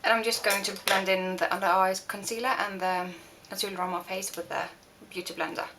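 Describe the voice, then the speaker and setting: A young woman from Norway with a Norwegian accent, talking through a makeup tutorial with many pauses and restarts. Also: mid-range voice, steady tone, heavy background noise. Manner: hesitant, many fillers and restarts. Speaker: beauty vlogger. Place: home room.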